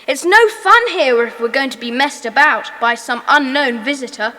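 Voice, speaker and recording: A woman's voice: high voice, animated, moderate pace, bright, forceful tone. A woman playing an eager, bossy boy who leads a children's secret club in an audio drama, with decisive, commanding delivery. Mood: worried, decisive. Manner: decisive, commanding, schoolchild diction. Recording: reverberant, hall-like echo.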